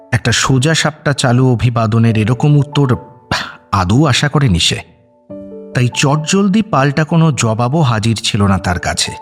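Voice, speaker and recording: Animated male storyteller over soft music. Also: medium pitch. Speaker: story narrator. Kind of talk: dramatic scripted narration. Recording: music bed under narration.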